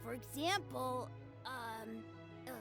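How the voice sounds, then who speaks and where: A woman's voice: sits high, takes it slowly. A woman playing an eager, proud little boy who fancies himself a swordsman in a video game. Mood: eager, innocent. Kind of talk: video game character dialogue. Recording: game music behind the voice.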